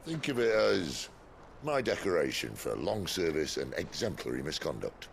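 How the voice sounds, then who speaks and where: An actor playing a world-weary, roguish rebel leader in a video game, gravelly and wry. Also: male voice, deep voice, big pitch swings, moderate pace, slight background noise, exaggerated voice. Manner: wry, laconic. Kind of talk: dramatic video game acting.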